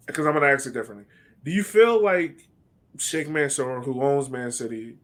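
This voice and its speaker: A man hosting a casual podcast interview, rambling a little and rewording his questions as he goes. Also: mid-range voice.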